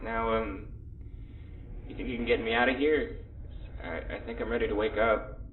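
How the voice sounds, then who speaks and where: A man's voice: on the low side, fairly even intonation, normal speed, phone-quality sound, faint music behind, lightly acted. A man playing a groggy, good-natured and disoriented character in an audio drama, speaking in a hesitant stammer. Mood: shaky, relieved. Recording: distant, echoey, with background noise.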